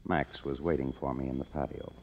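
Low-voiced, wry, cynical screenwriter. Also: male voice, steady tone, fairly quick, slight background noise. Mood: brooding, wry. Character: cynical, self-reproaching young screenwriter man. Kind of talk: 1950s radio drama, first-person narration and dialogue. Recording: muffled old radio broadcast with music.

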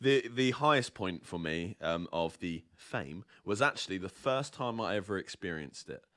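A man's voice: sits low, expressive, moderate pace, fairly bright tone. A young man from southern England, chatting casually as a podcast co-host and telling stories with lots of fillers and restarts. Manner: rambling storyteller, many fillers and restarts. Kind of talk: casual chat.

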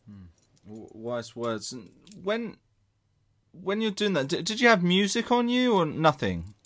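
A man's voice: high voice, big pitch swings, a little slow, a bit bright. A man interviewing a guest with short, easygoing questions.

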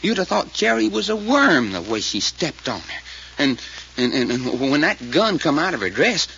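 Folksy male sidekick, drawl, very animated, slightly high, for a dull-sounding vintage radio mystery. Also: normal speed, gravelly, heavily acted. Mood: worked up. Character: folksy, excitable country-talking male detective sidekick. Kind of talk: 1940s radio drama acting. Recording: muffled, noisy old radio recording.

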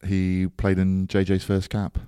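A man's voice: low-pitched, completely flat delivery, measured pace, mellow, smooth, no room sound, studio quality. A man co-hosting a casual sports podcast, rambling with frequent ums and getting enthusiastic about rugby. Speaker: podcast co-host.

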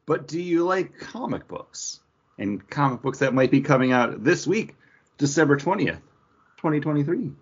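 A man co-hosting a pop-culture podcast, chatting casually in a husky voice. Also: a little high, expressive, moderate pace. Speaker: podcast co-host. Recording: dull, lo-fi podcast audio.

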